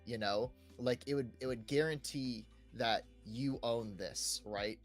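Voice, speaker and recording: A young man talks casually as a podcast co-host, in a mid-pitched voice. He is hesitant, doubling up words and often tagging on little checks for agreement. Soft music plays behind him. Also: a little slow. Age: young adult. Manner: hesitant, repeats words, often seeks agreement with "right?" and "you know". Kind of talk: casual chat about video games.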